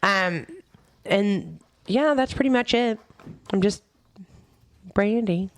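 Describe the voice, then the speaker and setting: A woman in her fifties speaking frankly in casual chat, blunt and quick to swear. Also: mid-range voice. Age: 50s. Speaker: guest.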